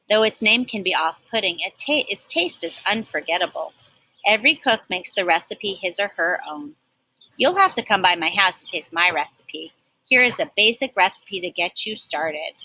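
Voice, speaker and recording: A woman's voice: medium pitch, expressive, normal speed, pushed and bright, slight background noise, low-quality recording. A woman reading a children's storybook aloud expressively, like a teacher, with emphatic stresses and chatty asides.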